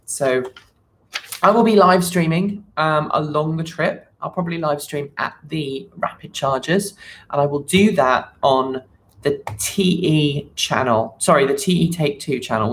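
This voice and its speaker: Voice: mid-range voice, fairly bright tone, slight background noise. A live stream host chatting casually and unscripted, rambling and thinking aloud. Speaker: channel host.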